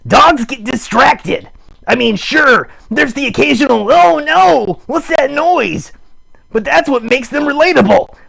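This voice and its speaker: High, gravelly, excitable puppet voice. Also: male voice, a little slow, full character voice. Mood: excited, earnest. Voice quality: raspy. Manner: emphatic, exclamatory, mock-campaign speech. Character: excitable puppet character, earnest champion of dogs. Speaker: puppeteer host. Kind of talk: live improvised puppet show monologue.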